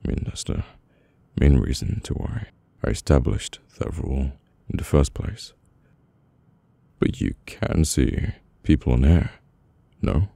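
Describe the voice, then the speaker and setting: A man with a British accent playing a brooding, guarded mafia boss in an intimate, halting, murmured ASMR roleplay. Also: rumbling low, expressive, creaky, dark tone, dry recording, heavily acted. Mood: awkward, caring.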